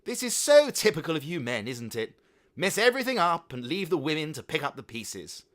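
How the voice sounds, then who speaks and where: A man plays an exasperated mother in a comic storybook tale, scolding with biting sarcasm. Her voice is bright and raised, with lively swings of pitch. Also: brisk. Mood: furious.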